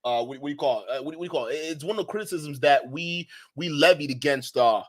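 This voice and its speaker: A man's voice: fairly high pitch, fast talker. A man from Nigeria with a slight Nigerian accent, hosting a live-stream commentary, talking to his chat in a mocking way, laughing often and restarting his sentences.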